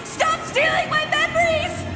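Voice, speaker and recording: A woman's voice: very high voice, level tone, unhurried, reverberant, big-room sound, music in the background. A woman playing a defiant, distressed young video game heroine, intense and emotional. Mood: desperate. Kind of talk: video game cutscene acting.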